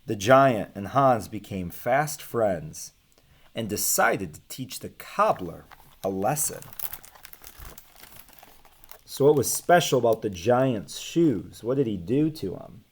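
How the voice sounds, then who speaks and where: Slow, animated young man reading aloud. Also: lowish voice, husky. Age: young adult. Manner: animated, deliberate, asks listeners questions. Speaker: read-aloud storyteller. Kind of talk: expressive children's storybook reading. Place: small room with bookshelves.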